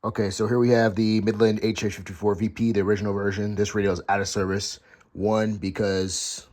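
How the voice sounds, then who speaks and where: An American man giving a casual show-and-tell as a hobby video host, halting and correcting himself as he goes, recorded in a room at home. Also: fairly low pitch, level tone, darkish tone. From United States. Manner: halting, pausing mid-sentence, self-correcting. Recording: clearly noisy amateur home recording with clicks.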